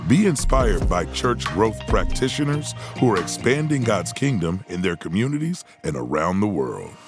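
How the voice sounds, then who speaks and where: A man's voice: on the low side, sing-song, moderate pace, over music. A man with an American accent reading a scripted podcast intro as the announcer.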